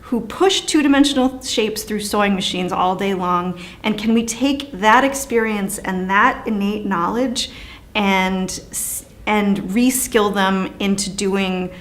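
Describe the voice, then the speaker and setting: Emphatic woman founder pitching an idea. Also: measured pace. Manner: emphatic, rhetorical questions. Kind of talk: documentary interview answers.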